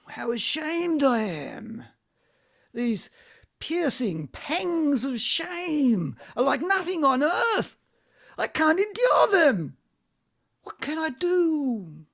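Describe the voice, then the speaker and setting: A woman's voice: high-pitched, sing-song, very slow, gravelly, exaggerated voice. A woman playing a despairing, self-loathing middle-aged man in an amateur play reading, in an anguished, theatrical manner. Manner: anguished, theatrical, bitterly laughing.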